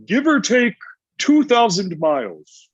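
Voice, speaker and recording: A man's voice: sits high, big pitch swings, takes it slowly, breathy edge, muffled recording, full character voice. A man playing a grumpy, ancient Santa Claus in a comedy table read, with a blunt, testy, conversational manner. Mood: frank.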